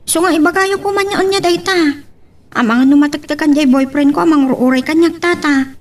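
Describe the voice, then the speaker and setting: A woman's voice: sits high, normal speed, close to an everyday voice. A woman playing a timid, flustered young house worker in an audio drama, stammering politely with an Ilocano accent. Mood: deferential, anxious.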